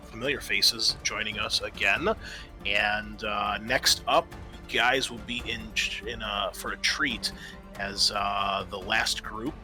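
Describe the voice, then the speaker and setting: A man's voice: on the low side, pushed and bright. A man speaking casually as game master and host, narrating a tabletop game with informal, hesitant phrasing. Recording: faint music under the voice.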